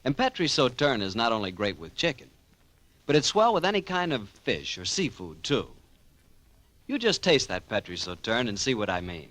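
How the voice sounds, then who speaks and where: A man's voice: medium pitch, big pitch swings, moderate pace, a bit bright. A man announcing in 1940s radio style, with folksy, enthusiastic salesmanship. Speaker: announcer and sponsor spokesman.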